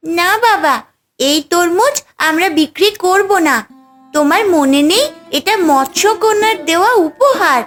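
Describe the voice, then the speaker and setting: A very high-pitched, principled little village girl, acted by a woman for a cartoon tale. She is earnest and kind, and takes her words slowly over a music bed. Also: expressive, fairly bright tone, heavily acted. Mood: earnest, gentle. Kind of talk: cartoon story dubbing.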